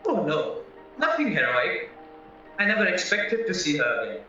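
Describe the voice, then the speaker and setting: Man playing a high, animated, naive young husband. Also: moderate pace, heavily acted. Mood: candid, chatty. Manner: earnest, colloquial, explaining himself. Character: naive, chatty, polite young husband suspected of murder. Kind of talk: stage-style audio play acting. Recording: very echoey, distant, muffled, music behind.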